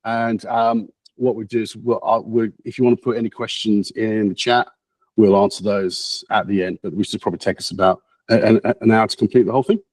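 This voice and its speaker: Guy hosting a meetup on a video call, fairly low voice, stumbles and repeats himself, dry sound. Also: steady tone, a little mellow, dry recording, low-quality recording. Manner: halting, repeats words and restarts. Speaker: meetup host. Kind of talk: introducing a speaker.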